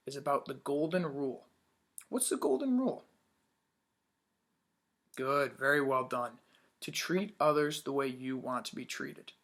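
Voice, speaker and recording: A man's voice: medium pitch, a bit bright. A man teaching young children in a read-aloud lesson, upbeat, asking questions and praising answers.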